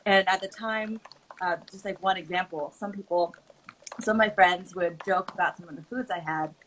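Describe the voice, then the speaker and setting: A woman's voice: lowish voice, moderate pace, fairly bright tone, slight background noise, rough recording. A woman from Oakland, California, whose first language is Mandarin, telling her story as a guest in a casual group chat in an earnest way with frequent fillers.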